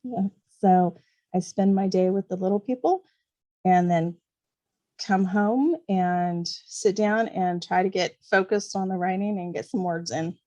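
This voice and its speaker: A woman's voice: mid-range voice, unhurried, dark tone, muffled recording. A woman chatting casually and expressively as an author guest in an informal interview. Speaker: podcast guest, novelist and kindergarten teacher. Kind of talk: casual chat.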